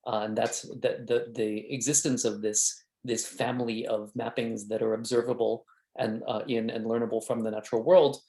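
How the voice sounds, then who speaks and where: A man answering questions after an academic talk, speaking in a halting way with many restarts and fillers. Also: mid-range voice, little pitch movement, gravelly, warm tone, reverberant. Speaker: invited speaker.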